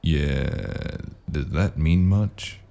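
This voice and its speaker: Male actor for terse cyberpunk gumshoe, audio drama. Gravelly fry, dark mellow tone, unhurried and dryly flippant. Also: full character voice. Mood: dry, defensive. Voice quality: very deep, gravelly. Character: laconic, world-weary male cyberpunk noir investigator, dryly flippant. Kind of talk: noir audio drama acting.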